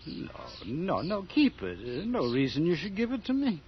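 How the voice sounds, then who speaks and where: Radio drama role: weary elderly countryman, raised pitch, old-fashioned halting delivery, audible noise on the track. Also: wildly swooping pitch. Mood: genial, puzzled. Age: older. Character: weary old male farmer, widowed and brooding. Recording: dull, noisy radio drama recording.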